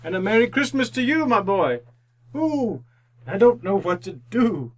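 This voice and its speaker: An actor playing an old repentant miser in a radio drama, theatrical and fervent. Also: male voice, sits high, sing-song, measured pace, exaggerated voice. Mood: giddy delight. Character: old repentant miser, fearful then overjoyed.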